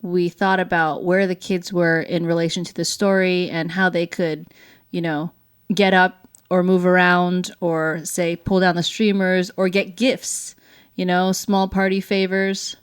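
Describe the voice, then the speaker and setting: A woman's voice: lowish voice, little pitch movement, a little slow, smooth, no echo, studio quality. A woman from the United States, co-hosting a casual explanatory chat in an agreeable way. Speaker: co-host.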